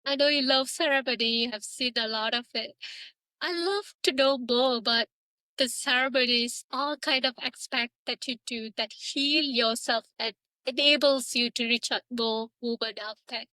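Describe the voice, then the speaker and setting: A woman hosts a spiritual conversation with a high, bright voice. She is earnest and affirming, phrasing things gently. The recording is close and dry. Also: steady tone, moderate pace, dry recording. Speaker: podcast host.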